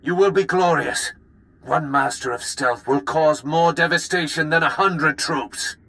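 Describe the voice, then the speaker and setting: Pompous evil sith lord for a video game, gravelly n sharp, super theatrical. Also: male voice, a little high, a little slow. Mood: grandly exultant. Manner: pompous, theatrical, poetic speech. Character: menacing, grandiose Sith lord commanding an invasion. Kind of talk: video game villain acting.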